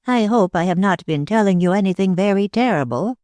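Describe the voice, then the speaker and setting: A reader voicing a thin, pale woman clairvoyant who speaks slowly and with emotion, in an audiobook. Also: medium pitch, lively intonation, a little mellow, no echo, pitched above the performer's own voice, lightly acted. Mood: calm. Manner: slow, emotional, solemn. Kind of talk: audiobook reading.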